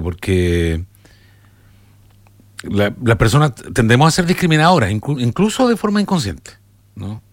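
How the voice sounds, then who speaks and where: A man hosting a talk show, commenting in a halting, measured conversational way. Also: mid-range voice, no echo. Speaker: radio or talk show host.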